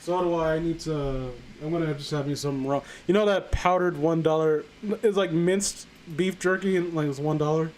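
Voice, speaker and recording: Higher-voiced man rambling on a noisy stream. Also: steady tone, moderate pace, hardly any pauses, darkish tone. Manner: rambling, blunt, profane. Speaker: live stream host. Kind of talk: casual opinionated chat about films.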